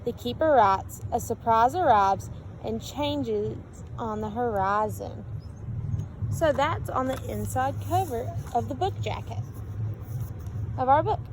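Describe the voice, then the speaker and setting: High female voice, picture book read-aloud, expressive, sing-song, engaging young listeners with questions, noisy background. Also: slow pace. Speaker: storybook reader. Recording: steady background noise.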